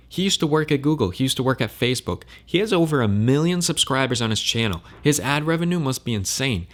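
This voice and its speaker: A man giving blunt, emphatic opinion commentary on a crypto controversy. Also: medium pitch.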